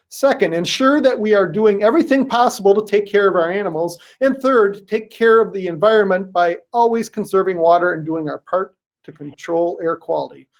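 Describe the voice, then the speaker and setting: Host reading smooth prepared lines. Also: medium pitch. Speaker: livestream host. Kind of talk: scripted host introduction.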